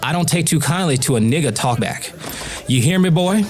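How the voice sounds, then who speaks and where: An actor playing a menacing, domineering plantation overseer with a Southern US accent in an audio drama. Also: male voice, a little high, animated, brisk, lightly acted. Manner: domineering, threatening, drawling commands.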